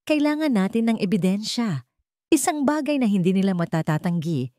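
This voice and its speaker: A woman's voice: medium pitch, lively intonation, normal speed, dark tone, dry recording, studio quality, voice pushed up, lightly acted. A woman playing a remorseful, resolute young woman in a romantic drama story.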